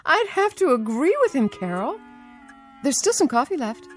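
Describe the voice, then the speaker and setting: An actress playing a caring, patient granddaughter in a radio drama, gentle but firm in how she talks. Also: sits high, big pitch swings, moderate pace. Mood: friendly.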